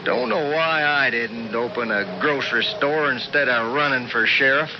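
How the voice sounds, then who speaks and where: Under clear noise on an old radio drama, an actor plays a country sheriff. His voice is medium in pitch, laconic and folksy, wry but dutiful. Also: expressive, moderate pace, lightly acted. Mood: wry, matter-of-fact. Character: plainspoken, wry rural sheriff, dutiful in a snowstorm. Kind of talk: 1970s radio drama acting. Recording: noisy, muffled 1970s radio broadcast.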